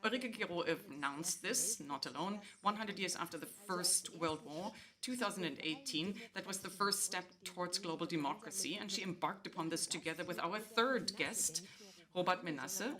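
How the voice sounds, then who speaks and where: Female moderator, bright and forward, lower register, leads a formal panel. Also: moderate pace. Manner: introduces guests at length.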